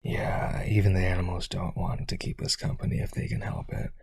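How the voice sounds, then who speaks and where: Man playing a husky, lonely vampire aristocrat. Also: deep voice, monotone, fairly quick, darkish tone, no echo, studio quality, full character voice. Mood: lonely, earnest. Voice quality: husky, creaky. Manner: hesitant, pleading, eager to please. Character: lonely rich male aristocrat vampire, eager, clingy yandere suitor. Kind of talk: roleplay audio drama.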